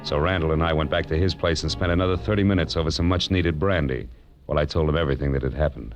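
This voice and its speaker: An actor playing a wry, tough private detective narrating his case in clipped, deadpan 1940s radio drama style. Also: rumbling low, fast. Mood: wry, tense narration. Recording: vintage radio recording with music behind.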